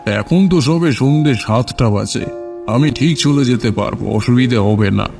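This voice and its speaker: A man's voice: mid-range voice, unhurried, creaky, over music. A man playing a courteous, modest and sceptical letter-bearer in cartoon story dialogue. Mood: doubtful, reassuring.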